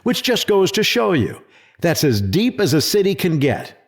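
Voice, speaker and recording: Audio drama: male actor as scornful official, raspy, lively intonation, dripping sarcasm. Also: high voice, moderate pace, full character voice. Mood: skeptical. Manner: sarcastic, dismissive. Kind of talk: dramatic audio fiction acting.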